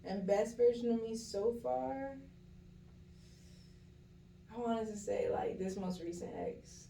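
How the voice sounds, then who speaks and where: Mellow young woman in a roomy space. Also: fairly high pitch, takes it slowly, creaky. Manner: candid, reflective, slangy. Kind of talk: casual chat. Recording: roomy, distant mic with faint hum.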